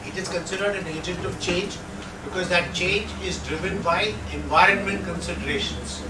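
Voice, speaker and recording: A middle-aged Indian man gives a lecture to an audience in a reverberant hall, a little higher-pitched than most men. He sits back from the microphone, so the sound reaches it thinly. He talks in a conversational way and keeps tossing rhetorical checks to the room. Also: normal speed, background noise. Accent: Indian English. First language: not Hindi. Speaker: guest lecturer. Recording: echoey hall, distant mic.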